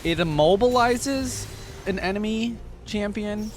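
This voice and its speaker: A man's voice: high voice, unhurried. Casual man reacting to a game, music behind. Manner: informal, thinks aloud. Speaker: reaction video host, gamer.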